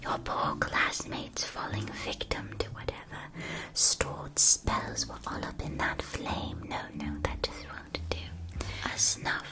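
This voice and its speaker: A woman playing a gentle, attentive magic-shop keeper in a scripted ASMR roleplay, whispering softly with careful articulation. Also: rumbling low, exaggerated voice, rough recording. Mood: soothing, instructive. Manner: soft, slow, careful articulation. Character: gentle, attentive female shopkeeper of magical school supplies.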